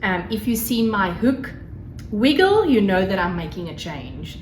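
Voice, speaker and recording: Woman, South African accent, native Afrikaans speaker, slow pace, gushing casual vlog chat, roomy echo. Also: mid-range voice, big pitch swings. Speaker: craft podcast host. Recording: roomy echo, faint background noise. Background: crackling fire, faint noise.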